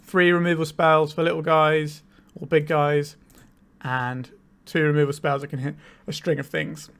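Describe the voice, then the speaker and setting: A man with a slightly higher than usual voice talks through a card game in a relaxed, explanatory way, thinking aloud as he goes. He has a cold and sounds a little tired. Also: normal speed, clear, resonant tone. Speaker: channel host, card game player. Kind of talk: casual gameplay commentary.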